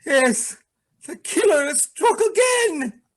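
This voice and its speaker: A man playing an excitable, fretful neighborhood grocer in an old-time radio drama. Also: very high-pitched, takes it slowly, exaggerated voice. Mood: panicked.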